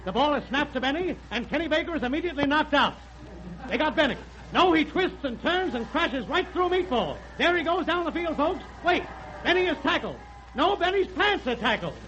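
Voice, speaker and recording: A man playing an excitable, urgent play-by-play football announcer in a 1930s radio comedy. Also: very high voice, fairly quick, muffled recording. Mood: excited. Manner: urgent, exclaiming, mock-dramatic. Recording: noisy 1930s live radio broadcast.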